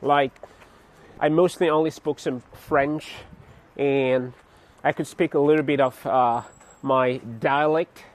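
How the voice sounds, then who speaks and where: A young man from Cameroon chatting casually to his vlog viewers outdoors on a city street, laughing often and pausing with fillers. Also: a little high, steady tone. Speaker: vlogger. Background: faint street traffic.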